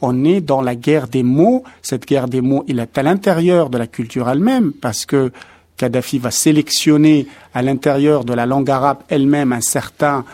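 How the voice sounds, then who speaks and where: Measured academic man explaining. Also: mid-range voice, dark tone. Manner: measured, academic, with frequent hesitations and restarts. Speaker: expert guest on translation. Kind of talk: explanatory interview answers.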